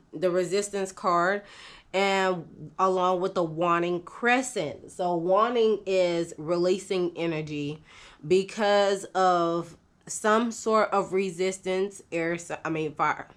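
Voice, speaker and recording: A woman, congested and nasal from a cold, giving an unscripted tarot reading in a slow, halting way with many fillers. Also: fairly low pitch. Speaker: tarot reader.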